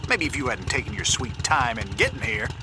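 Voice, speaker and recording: A gruff, defiant space-frontier marshal with a Southern US accent. He talks in a folksy, blunt, lively way at medium pitch, over clearly noisy game audio. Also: brisk. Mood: angry, defiant. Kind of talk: scripted game dialogue.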